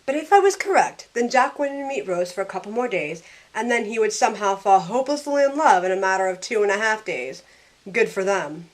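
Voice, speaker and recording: A woman's voice: medium pitch, animated, a little fast, lightly acted. Snarky young woman played by an actress, wry storyteller. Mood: wry narration. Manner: wry, sarcastic. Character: snarky young woman thrown back aboard the Titanic. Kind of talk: first-person scripted narration and acted dialogue.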